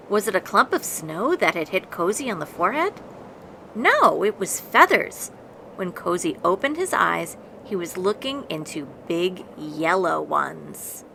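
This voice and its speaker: A woman reads a picture book aloud with dramatic, deliberate phrasing. Her voice is bright and forward, and she takes her time over the words. There is some background noise on the recording. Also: fairly low pitch, gravelly, low-quality recording. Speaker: storybook reader. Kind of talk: expressive read-aloud of a children's picture book.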